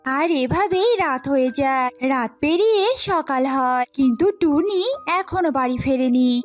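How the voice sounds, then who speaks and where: Woman, storyteller, high voice, scripted children's cartoon narration, background music. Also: moderate pace.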